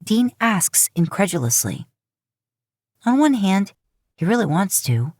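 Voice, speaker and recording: A woman's voice: mid-range voice, sing-song, a little slow, a little mellow, dry recording. A woman reading scripted fiction aloud as an audiobook-style narrator. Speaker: podfic narrator.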